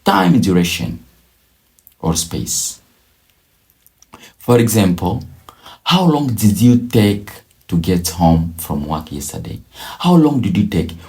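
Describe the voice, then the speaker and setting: A man teaching a beginner language lesson, drilling each phrase slowly and repeating it several times. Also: on the low side, sing-song, a little mellow. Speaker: English teacher.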